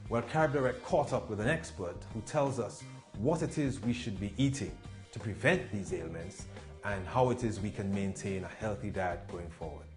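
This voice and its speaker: A man's voice: lowish voice, moderate pace, a little mellow. A man presenting a scripted magazine programme in a narration style. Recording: music bed under voice. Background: music.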